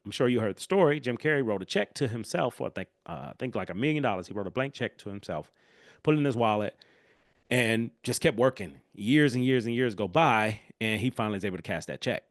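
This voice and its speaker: A man hosting a solo podcast in a casual, animated way, his voice congested and nasal from a cold. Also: medium pitch, quick pace, no echo, studio quality. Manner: casual, animated, chatty with asides. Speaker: podcast host.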